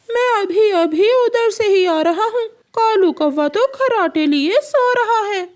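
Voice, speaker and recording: An extremely high-pitched cartoon parrot, acted out by a woman. He is a cheeky male tattletale who speaks in a mocking sing-song, made for a children's animated story. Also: takes it slowly, full character voice. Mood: amused, mocking.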